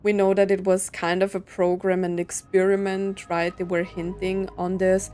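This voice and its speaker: A woman's voice: mid-range voice, little pitch movement, moderate pace, darkish tone, soft background music. A woman giving casual reaction commentary as a gaming streamer, thinking aloud and asking questions.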